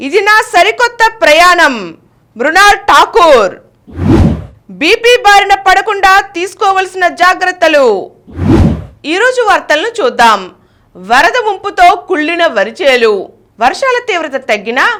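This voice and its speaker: High-pitched, lively woman news reader. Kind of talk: scripted news bulletin reading.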